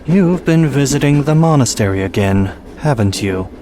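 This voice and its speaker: A man playing a gruff, fatalistic steam train engineer in an audio drama, speaking in a terse, blunt way. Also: mid-range voice, animated, normal speed, background noise, natural voice. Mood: dismissive, blunt. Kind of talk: amateur audio drama acting.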